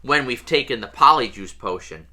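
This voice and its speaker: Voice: medium pitch, expressive, moderate pace, bright, forceful tone. A reader voicing a schoolboy wizard hero, wary and indignant, with blunt questions. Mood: dry.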